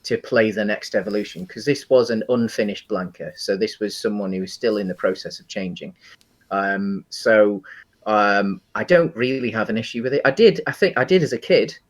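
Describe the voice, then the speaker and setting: A man co-hosting a casual film discussion, speaking in a hesitant, halting way and qualifying his opinions as he goes. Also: on the low side. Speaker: co-host of a video game film discussion show.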